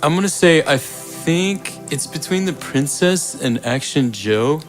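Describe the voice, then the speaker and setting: A man's voice: fairly high pitch, animated, a little slow, faint music behind. A man voicing a blunt, judgmental, aggrieved toy who argues a case in improvised comedy.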